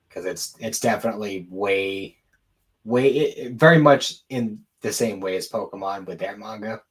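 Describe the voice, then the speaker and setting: A man's voice: fairly low pitch, a bit bright, low-quality recording. A man co-hosting a podcast, talking casually and offhandedly in an informal conversational way. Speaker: podcast co-host. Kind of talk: casual chat about anime and games.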